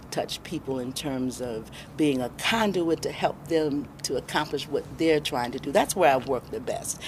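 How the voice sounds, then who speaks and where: A middle-aged American woman answering interview questions as a guest, reflective and deliberate, pausing for emphasis. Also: low voice, a little mellow, a little hiss or hum. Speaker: interview guest, independent filmmaker.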